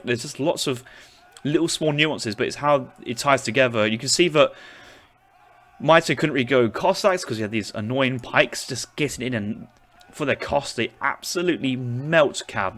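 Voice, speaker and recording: A man's voice: mid-range voice, bright, forceful tone. A young man casting a strategy game tournament, breaking down units and matchups as he thinks aloud. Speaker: esports caster.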